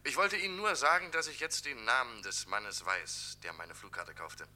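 An actor playing a breezy, self-important stage actor in a radio crime play, flippant and smug, with crowd noise behind. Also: male voice, mid-range voice, moderate pace, bright, forceful tone.

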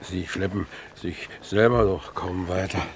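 Worn-out fugitive, low-pitched, plain-spoken, resigned; vintage radio play, slight noise. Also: male voice, steady tone, a little slow. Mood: weary, urgent. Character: weary, jobless man fleeing with his young niece. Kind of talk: 1950s German radio drama acting. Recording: noisy 1950s radio drama recording.

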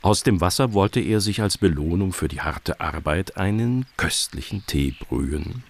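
A man's voice: sits low, expressive, moderate pace. A man narrating a scripted children's story as the narrator of a radio play.

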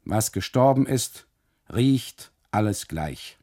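Male narrator as mocking custodian, husky, mellow, formal, declamatory, radio play. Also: on the low side, animated, takes it slowly, voice pushed up. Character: mocking, leisurely smoking male custodian, coolly expansive. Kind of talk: literary radio monologue dialogue.

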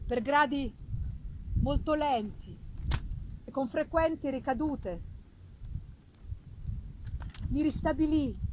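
A woman with a high voice narrates fiction in the first person. Her reading is theatrical, expressive and deliberate. It is a live recording with clear background noise. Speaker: reader. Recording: noisy live festival recording.

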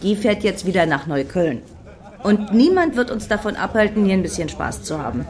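An actress playing a snarky, disdainful clubgoer in a comic radio play, dry and sarcastic. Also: female voice, mid-range voice, expressive, moderate pace, darkish tone, lightly acted. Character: snarky, disdainful female clubgoer waiting in the queue. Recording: music behind the voice.

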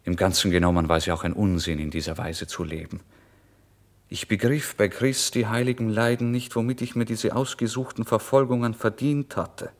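A man narrating a literary first-person story in a brooding, expressive way, moving between hushed and emphatic delivery. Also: lowish voice, moderate pace. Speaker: audiobook narrator.

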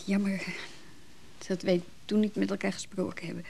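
A woman's voice: mid-range voice, flat delivery, normal speed, gravelly, dark tone, a little hiss or hum, lightly acted. An actress playing a hurt, disillusioned woman in a radio play, nervy and brooding, speaking in hesitant, broken phrases. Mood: resigned. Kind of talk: naturalistic Dutch radio drama dialogue.

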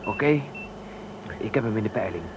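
A man's voice: fairly low pitch, huge pitch swings, normal speed. A man playing a practical, caring spaceship crewman in a radio drama, speaking plainly. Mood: urgent, resourceful. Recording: clearly noisy background.

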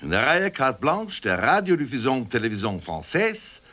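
Wildly swooping radio announcer. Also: male voice, mid-range voice, very animated, moderate pace, pushed and bright. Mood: grand, formal. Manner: theatrical, grumbling asides. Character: muttering male radio announcer, pompous on air. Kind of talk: comic radio play announcing.

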